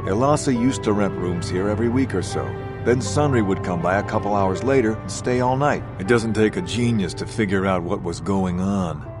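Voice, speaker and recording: A man's voice: lowish voice, moderate pace, natural voice. A man playing a gossipy, self-justifying hotel keeper in a video game, sounding matter-of-fact and a little prejudiced. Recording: music behind the voice.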